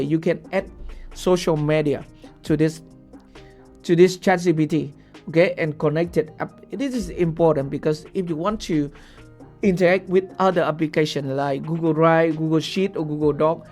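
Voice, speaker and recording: Young guy, vietnamese first language, slightly high gravelly voice, walks through settings step by step, soft music under it. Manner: explains step by step with frequent "okay?". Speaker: tutorial host. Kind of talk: screen-share software tutorial.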